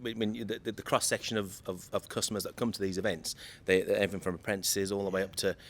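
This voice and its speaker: A man in his late forties with a slightly croaky voice, chatting as a guest on a podcast and often repeating words while he searches for them. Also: lowish voice, little pitch movement, fast. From United Kingdom. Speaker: guest from an electrical equipment maker. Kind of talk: casual podcast chat.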